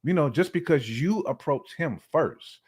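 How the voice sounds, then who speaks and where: A man's voice: a little high, expressive, measured pace, darkish tone, breathy edge. A man giving his opinions in casual, colloquial conversation, often acting out imagined dialogue as he goes. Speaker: podcast guest or co-host.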